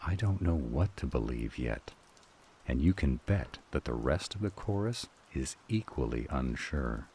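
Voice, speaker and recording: Very deep, ominous fantasy counselor. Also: male voice, expressive, warm tone, a little hiss or hum. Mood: wary, warning. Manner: measured, ominous, formal. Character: grave, slow-speaking cryptic counselor in a fantasy drama. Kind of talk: fantasy audio drama acting.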